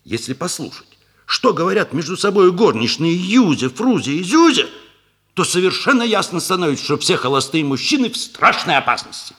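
An actor playing a fussy gentleman who narrates a radio comedy in a theatrical, confiding manner. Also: sits high, big pitch swings, brisk. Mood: confiding, indignant. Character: fussy gentleman warning about hussars and women. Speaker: narrator character.

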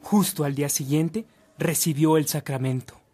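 Higher-pitched male storytelling narrator. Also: moderate pace, dark tone. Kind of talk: scripted radio drama narration. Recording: background music under the voice.

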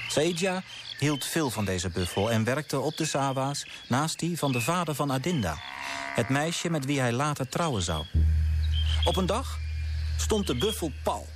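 An actor playing an earnest young man who tells a sorrowful tale in a measured radio play manner. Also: a little high. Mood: earnest storytelling.

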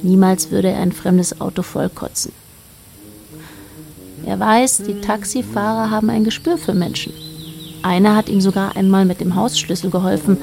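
A woman narrating a literary radio drama in a dry, measured, quietly ironic manner. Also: mid-range voice, steady tone, creaky, soft background music.

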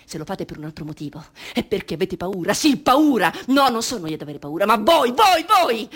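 Radio drama: a grieving, defiant mother, husky, swinging widely between outcry and breathy whisper. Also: mid-range voice, very animated, a little fast, fairly bright tone. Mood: defiant outrage. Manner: intense, theatrical, breaking into whispers. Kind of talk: dramatic radio theatre monologue.

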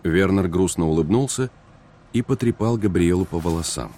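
A man narrating a story in an even, descriptive way. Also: deep voice, normal speed. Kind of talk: scripted narration.

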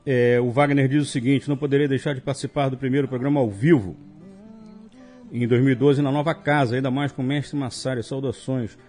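Jokey Brazilian radio host, mellow voice. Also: male voice, medium pitch, steady tone, brisk, faint music behind. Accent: Brazilian Portuguese. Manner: jokey, reads messages aloud with asides. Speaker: radio host reading listener emails. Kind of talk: casual live radio chat.

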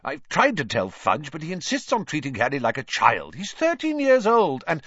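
A man's voice: on the high side, huge pitch swings, normal speed, fairly bright tone, husky, no echo, highs cut off, voice pushed up, heavily acted. A man voicing an earnest, mild middle-aged father in a storybook reading. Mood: heated.

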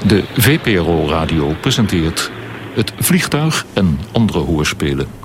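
A man's voice: deep voice, sing-song, measured pace, heavy background noise. A man reading a scripted introduction to radio plays as the programme's announcer.

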